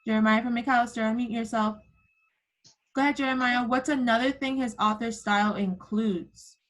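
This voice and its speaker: A woman's voice: fairly high pitch, fairly even intonation, moderate pace, fairly bright tone. A woman kindergarten teacher leading an interactive online lesson for young children over a video call, encouraging them with simple prompts and endearments. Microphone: computer microphone on a video call.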